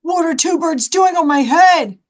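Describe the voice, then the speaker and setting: A woman's voice: very high-pitched, measured pace, pitched above the performer's own voice. A woman voicing an anxious, fussy male elephant from a children's storybook, fretful and incredulous in the way he asks questions. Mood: alarmed, incredulous.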